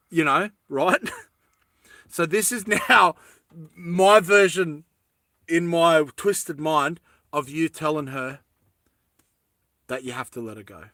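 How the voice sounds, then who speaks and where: A man's voice: sits high, pushed and bright, dry recording, clean studio sound. A man hosting a casual comic chat, talking in a slangy, teasing way. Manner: slangy, teasing, laughs often. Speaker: host.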